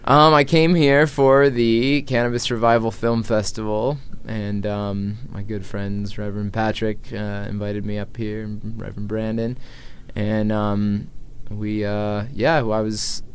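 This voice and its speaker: Male interview guest, early thirties, American, low pitch, loose rambling conversational delivery, faint background noise. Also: little pitch movement, a little slow, hardly any pauses. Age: early 30s. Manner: informal, meandering, thinking aloud. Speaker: interview guest, traveling musician and activist.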